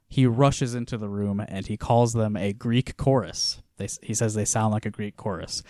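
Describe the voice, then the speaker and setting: A man co-hosting a casual podcast, walking through a comic with frequent laughter and quiet trailing-off lines. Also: on the low side, no room sound, clean studio sound. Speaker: podcast co-host. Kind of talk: casual chat reviewing a comic.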